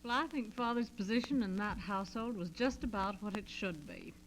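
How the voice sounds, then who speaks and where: A woman in a 1940s radio discussion, speaking in a halting, measured, dryly self-deprecating way. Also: mid-range voice, lively intonation. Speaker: guest debater. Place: radio studio, around a table. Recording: noisy vintage transcription disc with hum.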